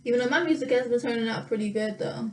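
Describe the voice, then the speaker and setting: A young woman with a slightly raspy voice chatting casually through a makeup tutorial, thinking aloud and pausing as she works. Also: on the high side, darkish tone, slight background noise. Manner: thinking aloud, drawn-out pauses while applying makeup. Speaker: makeup vlogger.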